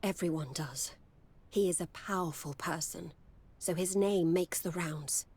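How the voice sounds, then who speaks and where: A woman's voice: lowish voice, a little slow, darkish tone, slightly rough. A woman playing a wary, world-wise courtesan in a video game. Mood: guarded.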